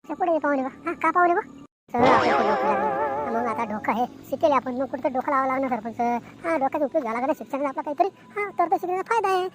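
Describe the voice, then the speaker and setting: A woman's voice: high voice, animated, fast talker. A woman voicing a chatty, boastful village man in an amateur cartoon comedy, emphatic and repetitive, with a rural Maharashtrian accent. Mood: chatty, animated. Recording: noticeable background noise.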